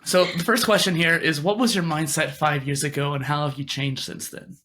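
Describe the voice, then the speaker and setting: A man's voice: fairly high pitch, fairly even intonation, fairly quick, pushed and bright. A man hosting a podcast interview, conversational, encouraging and affirming. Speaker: podcast host.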